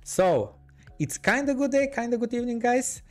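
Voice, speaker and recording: A man's voice: very high voice, animated, moderate pace, a little mellow, faint music behind. A man with a Bulgarian accent hosting a podcast, introducing his guest hesitantly with many fillers. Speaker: podcast host. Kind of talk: casual podcast interview.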